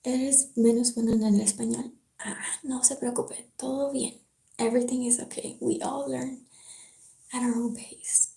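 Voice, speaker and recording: A woman with a French accent, chatting easily on a live stream and reading a novel aloud in a soft-spoken way. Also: a little high, flat delivery, moderate pace, creaky. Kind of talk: casual live-stream chat and book reading. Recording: roomy echo.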